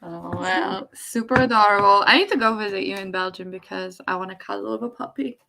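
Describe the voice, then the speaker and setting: Casting: woman from Poland, gravelly fry, medium pitch, informal livestream co-host chatting with viewers. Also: a little fast, crowd noise. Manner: informal, reads viewer comments aloud. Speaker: live stream co-host. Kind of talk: casual livestream chat.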